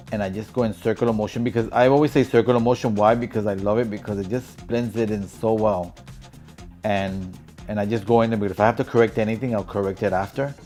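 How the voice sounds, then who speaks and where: A man chatting casually while doing a woman's makeup, mixing English and Spanish in a relaxed, talkative way. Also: lowish voice. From born in New York, raised in Puerto Rico. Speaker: makeup artist.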